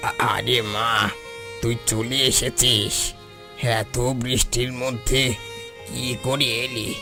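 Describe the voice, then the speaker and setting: A gravelly, slow-spoken old father, frail and gentle. He talks tenderly, resigned to his age, with music clearly playing behind him. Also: medium pitch, flat delivery. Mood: tender, relieved. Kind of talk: Bengali cartoon voice acting.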